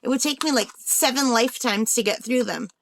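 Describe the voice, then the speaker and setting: A woman's voice: on the high side, fairly quick, a bit bright, slight background noise. A woman hosting a craft unboxing vlog in a casual, chatty way, thinking aloud, with a slightly tired, under-the-weather voice. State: slightly unwell, voice tiring. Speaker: craft channel host.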